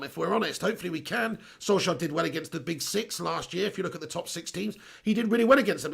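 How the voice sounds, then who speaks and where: Hoarse male stream host, gravelly, higher-pitched, rambling casual rant. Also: a bit bright. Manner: rambling, repeats himself, reads viewer comments aloud. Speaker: host of a fan live stream. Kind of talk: casual live-stream commentary.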